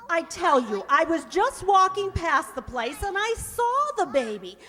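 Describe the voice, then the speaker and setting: A woman's voice: very high voice, sing-song, a little slow, a bit bright, heavily acted. A woman playing an exasperated young shop girl in an old-time radio comedy. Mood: flustered, indignant. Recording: reverberant hall stage recording.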